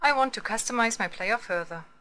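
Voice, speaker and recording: A woman reading scripted screencast narration as a tutorial narrator, in a measured, instructional manner. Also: a little high, expressive, bright, forceful tone.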